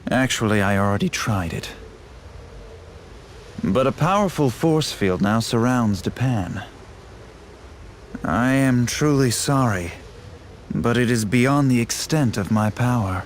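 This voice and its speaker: An actor playing a polite, apologetic spellcaster in a video game, formal and measured in speech. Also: male voice, medium pitch, expressive, slight background noise. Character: courteous, apologetic male spellcaster. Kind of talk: video game cutscene acting.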